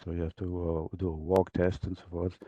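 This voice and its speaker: A man with a deep, mellow voice walks through technical slides as a product trainer. His delivery is halting and broken into short fragments as he searches for words. The sound is dull and roomy. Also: level tone. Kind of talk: unscripted slide presentation, technical walkthrough. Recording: dull, roomy online presentation audio.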